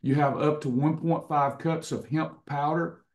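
A hoarse man in his fifties hosting a casual online recipe and wellness class over a webcam, chatty, with frequent restarts. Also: fairly high pitch, fairly even intonation, unhurried, darkish tone. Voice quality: slightly hoarse, clears throat. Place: indoors in front of a printed backdrop. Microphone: webcam on a video call.

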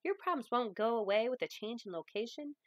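A woman's voice: a little high, expressive, a little fast, no room sound, lightly acted. A woman reading a novel aloud as a stern, loving grandmother, emphatic, folksy and firm. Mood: firm, tender. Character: stern, loving grandmother giving tough love.

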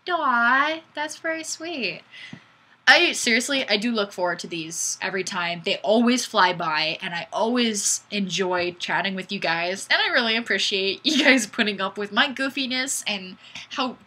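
A young woman talks into her webcam from a small room, hosting her weekly livestream. Her voice is bright and slightly husky, and she chats in a goofy, bubbly way with her viewers. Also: medium pitch, moderate pace. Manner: bubbly and goofy, bursts of squealing excitement. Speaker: livestream host. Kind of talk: casual livestream chat reading comments. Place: small indoor room, plain wall. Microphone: webcam mic.

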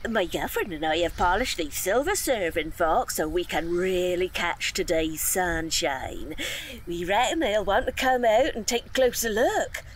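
A woman's voice: on the low side, a little hiss or hum. A woman playing an eager, chatty day tripper in an audio drama. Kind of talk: audio drama character acting.

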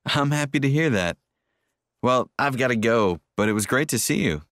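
Friendly man, played by an actor for language-course dialogue: lively intonation, dry studio sound. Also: medium pitch, normal speed, dry recording. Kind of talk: scripted textbook dialogue.

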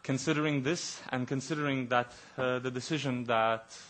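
A man in his thirties giving a formal statement as a Commission representative, measured and often pausing on "uh", into a desk microphone in a large committee room. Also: mid-range voice, steady tone, a bit bright. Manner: measured, with frequent hesitant "uh" fillers.

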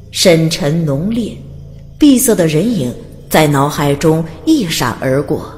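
低沉而富有起伏的女声旁白. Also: female voice, low-pitched, a little mellow, big-room sound. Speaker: audiobook narrator. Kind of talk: dramatic scripted fantasy narration. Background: music.

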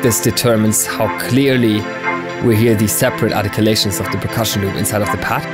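A man in his thirties hosting a software tutorial, explaining conversationally in a small home studio on a close mic. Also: fairly low pitch, fairly even intonation, fairly quick, loud music behind the voice. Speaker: tutorial host.

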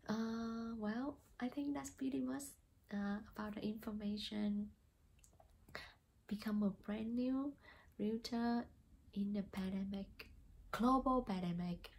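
A woman's voice: fairly high pitch, creaky, a little mellow, slightly rough, rough recording. A young woman from Vietnam with a Vietnamese accent, talking casually to camera as a new real estate agent, hesitant with many fillers. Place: small plain indoor room.

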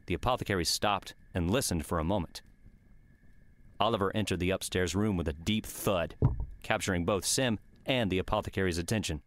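A man's voice: low-pitched, a bit bright. A man reading scripted audiobook narration of a story.

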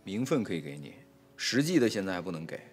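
A man's voice: sits low, very animated, fast. A man playing a harried lord of a household who is exasperated, scolding and flustered, in an audio drama. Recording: background music under the voice.

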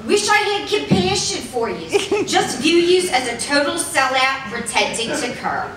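A woman's voice: high-pitched, sing-song, a little slow, a bit bright. Woman reading letters indignantly on stage. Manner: exaggerated indignant reading, audience laughing. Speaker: stage reader. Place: stage in a large hall. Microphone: stand mic at a music stand. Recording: echoey hall from far off.

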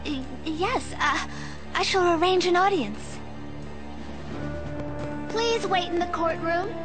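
A woman's voice: very high voice, animated, slow, over music, full character voice. A woman playing an earnest, flustered temple guard captain in a video game, speaking formally and hesitantly. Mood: flustered, polite. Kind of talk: video game cutscene acting.

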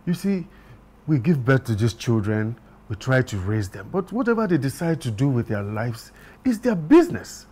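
An actor playing a regretful, dignified older chief in a film drama, solemn and measured. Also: male voice, medium pitch, very animated, warm tone, breathy edge. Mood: apologetic, resigned.

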